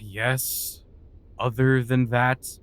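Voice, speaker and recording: A man's voice: mid-range voice, level tone, very slow, clean tone. An actor playing a scornful, exasperated male warlock in a fantasy audio drama, scolding and sarcastic. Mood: wary, annoyed. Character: irritable, scornful male dark magician and warlock. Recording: music behind the voice. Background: music.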